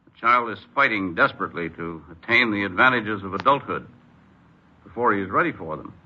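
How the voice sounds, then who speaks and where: A man's voice: on the low side, lively intonation, brisk, slight background noise. A man delivering a formal scripted address as an official on vintage radio. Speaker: corrections official giving commentary. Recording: muffled old radio broadcast.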